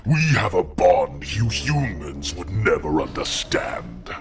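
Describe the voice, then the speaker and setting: An actor playing a brutal, haughty armored alien warrior villain in an audio drama. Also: male voice, low voice, big pitch swings, takes it slowly, music in the background, exaggerated voice. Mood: menacing. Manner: menacing, haughty.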